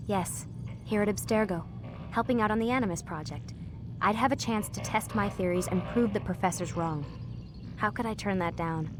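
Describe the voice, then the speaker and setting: An actress playing a young scientist in a video game, earnest, weary and guarded, speaking in a confiding, halting way. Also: female voice, mid-range voice, over music, natural voice. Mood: reflective, confiding. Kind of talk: naturalistic game dialogue.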